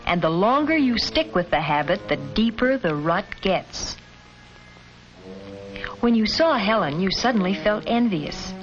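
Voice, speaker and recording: A woman reading scripted narration for a 1950s educational film, addressing the listener directly in an admonishing way. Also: mid-range voice. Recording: dull vintage film soundtrack with music. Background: film score music.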